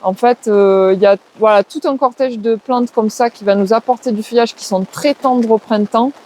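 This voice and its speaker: A woman's voice: on the high side, moderate pace, darkish tone. A woman with a southwest French accent answering interview questions, explaining things at length with many hesitations and filler words. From southwest France. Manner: hesitant, lots of "euh" and "ben", lists things off. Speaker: guest, permaculture trainer.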